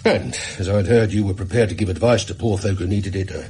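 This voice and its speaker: A man's voice: on the low side, quick pace, mellow, slightly rough. An actor playing an earnest, plain-spoken Victorian English shopkeeper in a British radio drama, indignant as he labours through his story. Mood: aggrieved.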